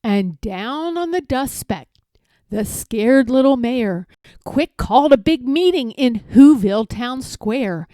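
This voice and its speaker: Woman reading a storybook, high and animated. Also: takes it slowly, dry recording. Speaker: storybook reader. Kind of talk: expressive children's read-aloud narration.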